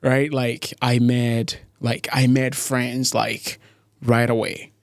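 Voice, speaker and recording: A young man from Tanzania, a podcast guest talking casually, full of restarts and quick laughs. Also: medium pitch, little pitch movement, gravelly, no room sound, clean studio sound. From East Africa, born in Tanzania. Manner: halting, many restarts and "like", laughs easily. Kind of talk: casual interview chat.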